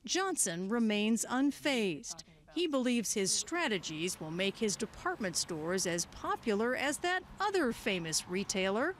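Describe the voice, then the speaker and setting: American woman news reporter, pretty high voice, really animated, reading a scripted story. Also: measured pace, slight background noise. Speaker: news correspondent. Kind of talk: scripted broadcast narration and interview questions.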